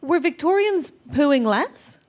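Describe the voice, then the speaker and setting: An Irish woman in her thirties co-hosting a comedy show, bubbly and teasing, quick to laugh. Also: high voice, lively intonation, a little mellow. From Ireland. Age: 30s. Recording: live audience laughter, noisy room.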